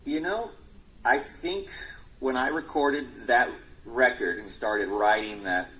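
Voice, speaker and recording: A man's voice: medium pitch, fairly bright tone, poor audio. A man in his forties, a musician answering interview questions earnestly, often restarting his sentences. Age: 40s. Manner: earnest, halting with many restarts. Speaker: musician guest.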